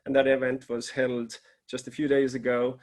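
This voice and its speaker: A man with an everyday mid-range voice, moderating a conference panel. He talks in a friendly, loose way, often pausing on fillers before his questions. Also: medium pitch, level tone.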